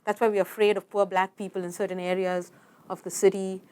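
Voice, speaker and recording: A woman's voice: medium pitch, flat delivery, brisk, darkish tone, smooth. A woman speaking in a serious, conversational way in a documentary interview.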